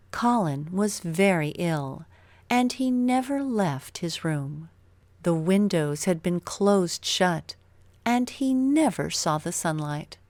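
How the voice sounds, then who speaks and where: A woman narrating a scripted children's story in an expressive, deliberate storytelling manner. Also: medium pitch, no echo.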